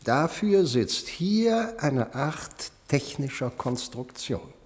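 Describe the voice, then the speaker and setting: A clinical doctor in a reverberant hall. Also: male voice, on the high side, big pitch swings. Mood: clinical, puzzled. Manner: explanatory, deliberate, musing aloud. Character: male doctor, clinical, fascinated, drily matter-of-fact about a body. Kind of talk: 1970s German radio play acting. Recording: long reverberant hall in radio play.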